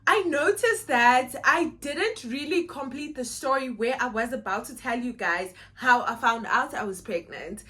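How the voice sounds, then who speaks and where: A woman's voice: sits high, animated, unhurried, hardly any pauses, a bit bright. A young South African woman, a native Xhosa speaker, chatting casually to camera in a vlog, repeating words and laughing easily. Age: young adult. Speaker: vlogger.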